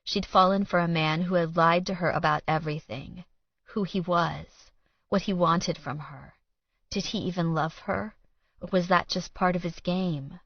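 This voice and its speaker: Female audiobook narrator, lowish pitch, scripted fiction reading, dry, slightly dull recording. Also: steady tone, moderate pace, no room sound.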